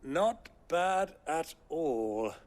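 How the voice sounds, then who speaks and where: Witty, theatrical aristocrat with lively delivery. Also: male voice, a little high, extremely slow. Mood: smooth, composed. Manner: wordy, theatrical, urbane, wry. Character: cunning older nobleman uncle posing as crystal buyer, witty. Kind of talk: video game voice acting.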